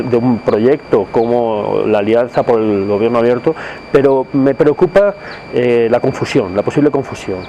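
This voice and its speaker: A man giving his opinion in a recorded message, speaking haltingly and deliberately as he searches for words. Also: mid-range voice, warm tone, a little hiss or hum, rough recording. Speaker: civil society commentator in a video message. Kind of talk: spoken opinion to camera.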